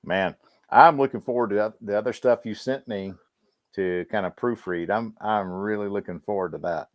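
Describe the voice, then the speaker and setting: A man's voice: lowish voice, moderate pace, no room sound, clean studio sound. A man co-hosting a livestream, chatting casually and using plenty of slang. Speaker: podcast co-host. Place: home in the countryside, on a video call.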